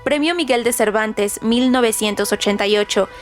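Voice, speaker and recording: A woman presenting scripted literary trivia for radio, reading in a formal, deliberate manner. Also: on the high side. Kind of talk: scripted narration, trivia about a novel. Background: background music.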